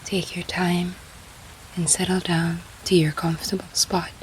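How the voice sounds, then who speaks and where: A woman leading a guided sleep meditation in a soft, breathy, half-whispered, soothing manner. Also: fairly low pitch, flat delivery, measured pace, slight background noise, low-quality recording. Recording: faint rain sound behind. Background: soft rain.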